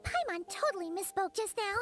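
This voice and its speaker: A woman voicing a tiny, excitable, cheeky childlike fairy companion in a video game, squeaky and chattering. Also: fairly quick, over music. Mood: flustered. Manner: refers to self in third person, chattering. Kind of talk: video game character acting.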